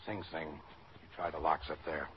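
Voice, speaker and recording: Low-voiced, tough police detective. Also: male voice, normal speed. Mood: dryly needling. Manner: laconic, needling, deadpan. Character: dry, tough male police detective interrogator. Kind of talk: 1950s radio drama acting. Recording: muffled, noisy old radio recording.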